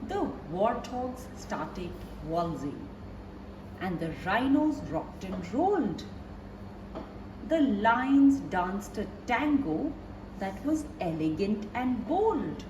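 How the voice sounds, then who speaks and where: A woman reading a children's picture book aloud in an expressive storyteller's way, talking directly to young listeners. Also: mid-range voice, takes it slowly. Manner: animated storyteller addressing young listeners with questions. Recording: roomy, distant mic, clearly noisy background.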